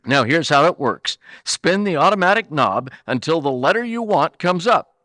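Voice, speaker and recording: Man as bright, emphatic radio pitchman. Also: lively intonation, normal speed, no room sound, clean studio sound. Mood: enthusiastic. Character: gee-whiz male radio pitchman hyping toys to kids. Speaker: announcer. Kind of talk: 1940s children's radio announcing.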